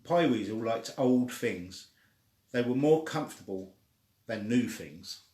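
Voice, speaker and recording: Male story reader, mid-pitched, leisurely and informal delivery, echoing room sound. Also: lively intonation, a little slow, warm tone. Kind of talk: children's story read aloud. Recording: echoey room.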